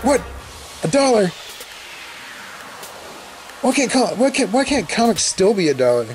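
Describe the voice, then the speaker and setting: A man's voice: sits high, sing-song, unhurried, soft background music. A young man casually rambling and swearing as he opens old comic books at home, talking into a handheld camera. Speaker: podcast host opening comics. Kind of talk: casual unboxing commentary. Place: indoors at home, filming comics on a bed.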